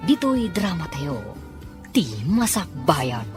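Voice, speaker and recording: Woman radio serial narrator, Ilocano accent, low voice, very animated melody, dramatic scripted delivery, clear music behind. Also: slow pace.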